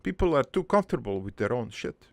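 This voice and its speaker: A man voicing a middle-aged, foreign-born cartoon father who rambles through his life story in a deadpan, halting, improvised monologue with a gravelly voice. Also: mid-range voice, no echo. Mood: resigned. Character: rambling, crude, wistful foreign-born immigrant father named Joey.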